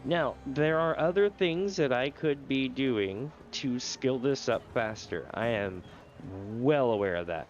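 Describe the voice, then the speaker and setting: A man's voice: medium pitch, measured pace, lo-fi sound, slight background noise. Nasal-sounding man streaming a game. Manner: casual, explanatory, chuckles often. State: sore throat, nasal. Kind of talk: live gameplay commentary.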